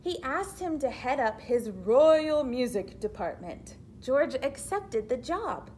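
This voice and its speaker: A woman reading a picture book aloud like a teacher, deliberate and expressive, pausing for explanatory asides to children. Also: medium pitch, slight background noise.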